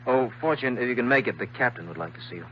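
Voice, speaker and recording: A man's voice: mid-range voice, lively intonation, quick pace, natural voice. An actor playing a mild, easygoing male ship's doctor in a 1950s radio drama. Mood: casual. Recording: dull, noisy 1950s radio recording, hum.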